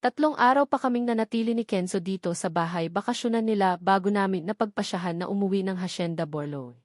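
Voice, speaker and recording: A woman's voice: mid-range voice, fast, dry recording, clean studio sound. A woman narrating a first-person romance novel as a scripted audiobook.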